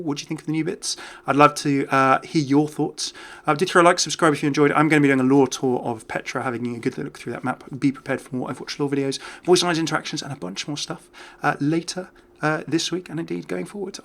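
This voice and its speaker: A man's voice: a little high, gravelly, a bit bright. A British man hosting a gaming video with chatty, casual, off-the-cuff commentary. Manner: chatty, easily amused.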